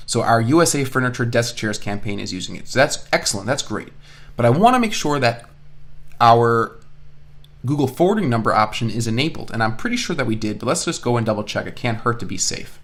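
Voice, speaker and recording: A man with a mid-range voice talks through a software tutorial at a close mic. He sounds like an online instructor thinking aloud as he explains each step. Key phrases come back in repeated patterns for emphasis. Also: medium pitch, lively intonation, a little fast. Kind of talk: informal screencast software tutorial.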